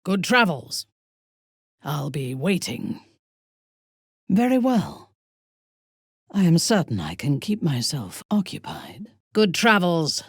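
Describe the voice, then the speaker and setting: A woman playing a proud, ruthless, seductive aristocratic villain in a video game, speaking in an imperious, deliberate, softly menacing way with a husky, breathy voice. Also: low-pitched, sing-song, no room sound, studio quality, heavily acted. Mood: cold, imperious. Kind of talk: dramatic video game voice acting.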